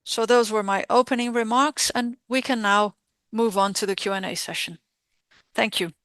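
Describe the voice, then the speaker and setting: Clear-voiced woman on an earnings call. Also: on the high side, steady tone, a bit bright, no room sound. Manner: measured, halting phrasing in short segments. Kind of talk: formal earnings call presentation, spoken live.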